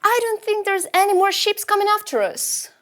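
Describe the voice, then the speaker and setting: Woman as very high-pitched eager young officer. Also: normal speed. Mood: excited. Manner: earnest, excitable. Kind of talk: character table read of sci-fi script.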